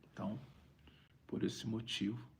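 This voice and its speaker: A Brazilian man with a raspy, gravelly voice, a preacher talking conversationally on a live stream and repeating phrases for emphasis. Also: fairly low pitch, level tone, measured pace, slight background noise. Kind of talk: informal livestream preaching, reading viewer greetings.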